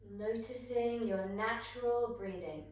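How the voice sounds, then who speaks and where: A woman guiding breathwork and meditation as a yoga teacher, gentle and soothing, with long unhurried pauses. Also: a little high. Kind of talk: guided meditation instruction. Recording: echoey room, distant microphone.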